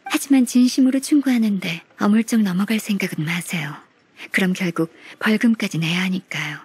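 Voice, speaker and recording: An actress playing a polite, composed senior official in a video game, courteous and firm in a measured, formal way. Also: female voice, medium pitch, breathy edge. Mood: calm, firm.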